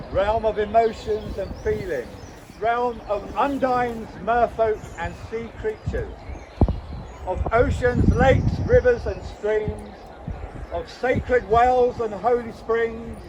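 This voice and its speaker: A man celebrant declaiming a solemn ceremonial invocation outdoors in measured phrases. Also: sits high, muffled recording. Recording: noisy outdoor recording.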